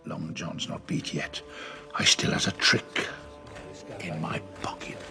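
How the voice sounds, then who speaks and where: An actor playing a wily, domineering middle-aged pirate captain with a gravelly voice, theatrical and full of rustic sailor talk, in an audio drama. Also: male voice, very deep voice, takes it slowly, over loud music, exaggerated voice. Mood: low, scheming.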